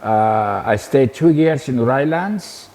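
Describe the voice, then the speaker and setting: An older man from Cyprus, recalling his life in an interview in a halting, repetitive way. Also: medium pitch, animated, a little mellow. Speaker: interview guest. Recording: reverberant room with background noise.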